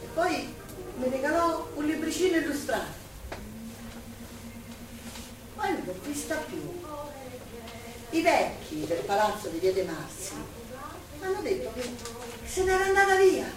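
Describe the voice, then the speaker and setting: An actress playing a warm working-class wartime woman with a Roman accent, in a theatrical stage style. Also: sits high. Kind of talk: theatrical stage acting, monologue and dialogue. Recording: distant echoey stage recording under loud music.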